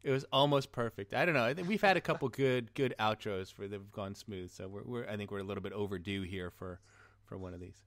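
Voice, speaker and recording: A man's voice: on the low side, quick pace, fairly bright tone, no room sound, clean studio sound. A man hosting a podcast in a casual chatting style. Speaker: podcast host.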